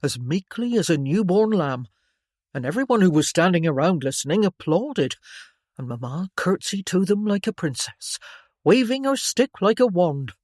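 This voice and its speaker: A narrator voicing a former soldier gently telling his small daughter a story, close to the narrator's own voice. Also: medium pitch, lively intonation, normal speed, dry recording, lightly acted. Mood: gentle.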